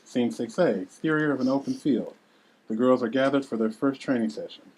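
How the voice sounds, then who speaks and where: Husky male script narrator. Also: medium pitch, a little fast, darkish tone, low-quality recording. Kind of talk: reading screenplay directions aloud.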